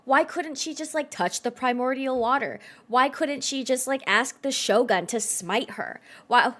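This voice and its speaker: Blunt, higher-voiced woman streaming games. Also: unhurried, a bit bright. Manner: blunt, sweary, incredulous. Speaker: game streamer. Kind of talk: casual reactive gaming commentary.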